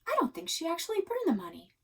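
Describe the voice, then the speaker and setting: A woman's voice: very high-pitched, wildly swooping pitch, quick pace, a bit bright, pitched above the performer's own voice, heavily acted. A woman voicing a persistent, inquisitive child in a storybook, earnest and probing.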